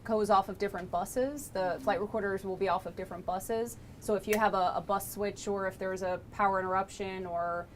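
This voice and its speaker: A woman's voice: fairly even intonation, a little fast, few pauses. Woman giving technical briefing in noisy room. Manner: explanatory, conversational. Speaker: lab engineer presenting flight recorder analysis. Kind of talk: informal technical briefing with questions. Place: laboratory tour, indoors. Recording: noisy room with low rumble.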